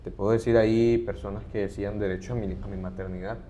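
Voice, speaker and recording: Earnest low-voiced man explaining. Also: fairly even intonation, fast, dark tone. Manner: earnest, explanatory, lists points steadily. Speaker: human rights advocate, interview guest. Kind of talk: conversational interview.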